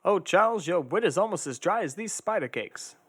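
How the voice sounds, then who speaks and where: Man playing a high, pompous, blustering hero detective. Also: animated, brisk, slightly rough, exaggerated voice. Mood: haughty. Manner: pompous, theatrical, loud. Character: vain, pompous, hot-tempered hard-drinking male detective adventurer. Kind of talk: old radio adventure parody acting.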